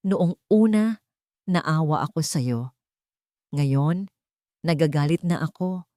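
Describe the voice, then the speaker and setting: A woman's voice: sits low, lively intonation, takes it slowly, dark tone, no room sound, clean studio sound. A woman narrator voicing a scheming brother who feigns sympathy and scolds with scorn in a romance story. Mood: scornful, scolding. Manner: insinuating, scolding.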